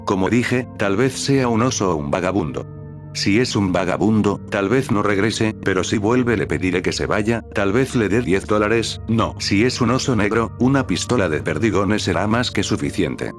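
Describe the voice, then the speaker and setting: A man with a very low, deep voice narrates horror stories in a steady, measured way. He speaks close to the mic as a voice-over. Music plays behind him the whole time. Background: background music.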